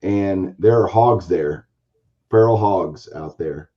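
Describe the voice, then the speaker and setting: A man hosting a casual card-collecting chat show, telling a long story in a rambling, animated way with a hoarse, raspy voice. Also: sits low, takes it slowly, highs cut off. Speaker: podcast host, baseball card collector.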